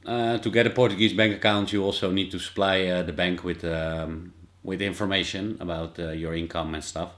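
Brief: thirties Dutch vlogger, low-pitched, hesitant, filler-heavy explainer, filmed in a small office on a desk camera. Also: male voice, fairly even intonation, moderate pace, hardly any pauses, a bit bright. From Netherlands. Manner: hesitant, many fillers and restarts. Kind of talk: casual informative vlog. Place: small indoor office with whiteboard.

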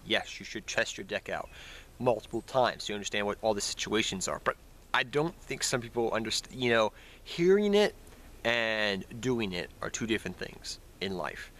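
A man's voice: lowish voice, pushed and bright, a little hiss or hum. Young man, stuffy nose from a cold. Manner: rambling, self-correcting, addresses viewers as guys. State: nasal from a head cold. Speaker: card game vlogger. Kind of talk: casual talk to camera. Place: outdoors in snowy woods. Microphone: built-in camera mic at close range. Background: passing cars and planes.

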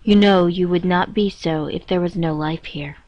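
A woman reading a scripted guided meditation in a measured, instructing manner with pauses between phrases. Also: fairly low pitch, steady tone, darkish tone. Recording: dull, roomy echoing lo-fi recording.

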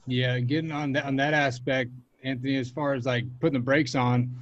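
A young man hosts a video call panel from outdoors, firing off casual questions at a brisk clip. His voice comes through dull and dry. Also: medium pitch, level tone, dry recording. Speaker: panel moderator. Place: outdoor covered porch. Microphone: video call webcam.

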